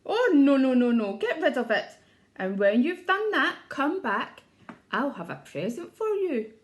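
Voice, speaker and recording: A woman voicing a big male crocodile from a children's storybook, with emphatic, drawn-out delivery. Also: high voice, big pitch swings. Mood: relieved, grateful. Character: big selfish male crocodile, bossy, later grateful. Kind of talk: children's storybook read-aloud.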